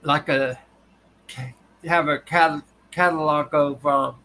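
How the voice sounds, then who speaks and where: A man hosting a casual livestream, talking haltingly with a tired, dry voice. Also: a little high, fairly even intonation, sharp tone, low-quality recording. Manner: halting, restarts often. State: voice tiring and dry. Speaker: livestream host. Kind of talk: casual live chat with viewers.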